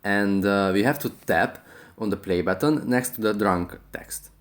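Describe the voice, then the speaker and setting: A man's voice: fairly low pitch, unhurried. A man hosting an app tutorial, narrating step-by-step instructions. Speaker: tutorial host.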